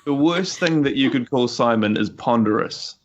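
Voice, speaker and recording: A slightly dull, lo-fi recording of a man. He banters and teases casually as a podcast player, with medium pitch and very lively intonation. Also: normal speed, loud music behind the voice. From New Zealand.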